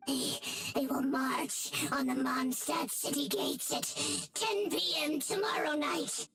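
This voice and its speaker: An actress playing a cowardly captured villain mage in a video game, with a theatrical, halting delivery. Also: female voice, high voice, lively intonation, heavily acted. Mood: frightened, pleading. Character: captured female mage villain, defiant then pleading, cowardly. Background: loud game music.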